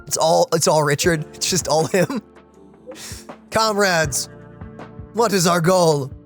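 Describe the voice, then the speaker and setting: Playful, high-voiced man doing gaming commentary. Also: slow pace, faint music behind. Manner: playful, animated. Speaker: let's play host. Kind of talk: casual gaming commentary, reading dialogue aloud.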